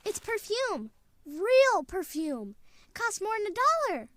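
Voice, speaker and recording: Woman doing earnest little boy in an old radio play, really high voice, bouncy and excitable. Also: heavily acted. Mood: excited. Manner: childlike, earnest, halting when confessing. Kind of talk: old-time radio drama acting.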